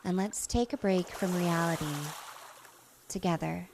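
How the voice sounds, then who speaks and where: Slow, soothing woman guiding relaxation. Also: fairly low pitch, gravelly, noisy background. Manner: soothing, gentle, unhurried with long pauses. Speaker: hypnotist and host of a guided relaxation. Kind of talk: guided relaxation and hypnosis narration.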